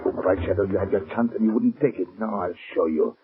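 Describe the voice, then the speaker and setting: An actor playing a desperate, threatening cornered gang leader in melodramatic 1930s radio style. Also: male voice, on the low side, fast, lightly acted. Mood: bitter menace. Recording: narrow muffled old radio recording.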